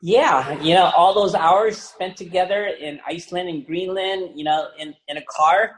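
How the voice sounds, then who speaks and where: A man in his sixties from the Philippines, a guest on a podcast, relaxed and joking in his answers. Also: sits high, normal speed, echoey room, a little hiss or hum, low-quality recording. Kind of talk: casual interview.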